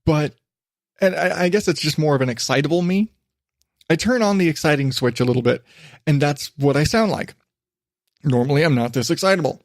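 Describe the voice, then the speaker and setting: A chatty man hosting his own podcast, talking in a rambling, self-deprecating way. His voice sits a little high for a man. The recording is close and dry, with almost no room sound. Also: darkish tone, no room sound. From western United States. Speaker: podcast host. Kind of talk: rambling solo podcast monologue. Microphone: studio microphone.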